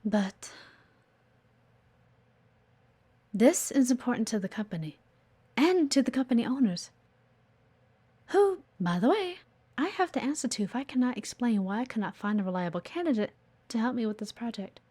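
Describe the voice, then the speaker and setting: A woman's voice: a little high, sing-song, a little fast, dark tone, husky. A woman playing a blunt, guarded but earnest executive assistant in an audio roleplay, assertive and exasperated. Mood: resigned, frustrated. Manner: exasperated, assertive, frequent sighs. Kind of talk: audio roleplay acting addressed to the listener.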